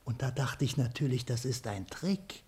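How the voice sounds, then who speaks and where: An actor playing a pompous, dutiful, blundering general of the guard in a radio play, with a clipped military bark. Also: male voice, mid-range voice, expressive, moderate pace, a little mellow, breathy edge. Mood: officious.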